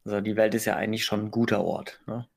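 A man hosting a casual podcast, asking questions in a relaxed, slangy way. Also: fairly low pitch, fast, vocal fry. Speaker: podcast host.

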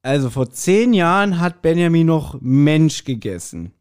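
A man's voice: on the high side, big pitch swings, unhurried, darkish tone, clean tone. A man of forty hosting a podcast in casual, joking, rambling German-style banter with lots of informal asides. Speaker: podcast host.

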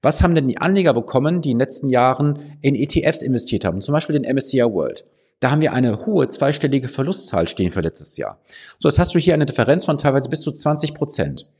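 A man's voice: medium pitch, lively intonation, fast, darkish tone, husky. A man with a slight cold, hosting a finance podcast and giving direct, persuasive advice to the listener from his office. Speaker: financial advisor and podcast host. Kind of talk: direct-to-camera advice monologue.

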